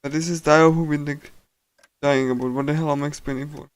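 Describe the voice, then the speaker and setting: A man reading a visual novel's text aloud on a casual live stream, in a halting, stumbling delivery. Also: a little high, steady tone, clear, resonant tone, no room sound.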